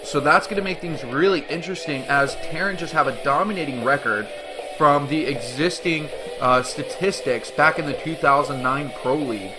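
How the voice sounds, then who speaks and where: A man's voice: on the high side, normal speed. A man giving upbeat, explanatory play-by-play commentary on a strategy game, with fillers and restarts, background music behind. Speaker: game caster. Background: background music at the start.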